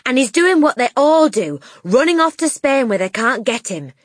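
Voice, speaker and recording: A woman puts on a gentle schoolteacher from a storybook. She is calm and caring, speaking softly and with measured care. The voice is husky, expressive and recorded dry. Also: sits high, no echo, pitched above the performer's own voice. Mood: matter-of-fact. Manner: quiet, soft, measured.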